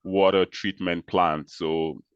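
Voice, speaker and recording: A man from Nigeria, a guest in an interview, explaining his work in a measured way with pauses and restarts. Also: low voice, steady tone, smooth, no room sound, highs cut off. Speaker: interview guest, social entrepreneur. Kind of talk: conversational interview answers.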